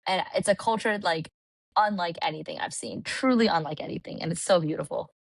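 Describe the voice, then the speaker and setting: Animated california woman chatting on a podcast, lots of "like", dry sound. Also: lowish voice, brisk, vocal fry, dry recording, poor audio. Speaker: podcast guest. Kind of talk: casual chat. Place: Puerto Rico.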